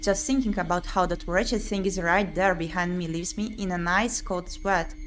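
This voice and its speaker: A woman streamer reading a visual novel aloud in an expressive, theatrical way as she plays through it. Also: fairly low pitch, normal speed, slight background noise. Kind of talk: expressive read-aloud of visual novel text with playthrough commentary.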